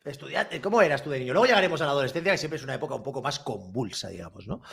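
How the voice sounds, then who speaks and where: A man's voice: medium pitch, animated, rapid-fire, gravelly, a bit bright. A man hosting a casual interview, chatty and eager, often repeating his questions. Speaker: interview host.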